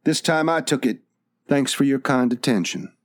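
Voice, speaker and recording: Man voicing a proud drifter, raised pitch, coolly defiant. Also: normal speed, lightly acted. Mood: quietly defiant. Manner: polite but defiant, dry.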